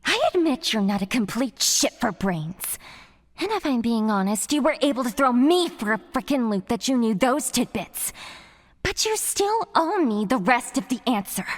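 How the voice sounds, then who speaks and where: A woman's voice: fairly high pitch, sing-song, normal speed. A woman playing a sadistic young heavy-metal tormentor in a horror audio drama, taunting her captive with mock sweetness and foul language. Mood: angry, demanding.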